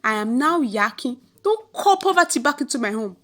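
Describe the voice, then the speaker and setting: Woman playing proud, hot-tempered Nigerian mother, high-pitched. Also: sing-song, normal speed, fairly bright tone, faint music behind, heavily acted. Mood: furious. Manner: boastful, scolding, emphatic.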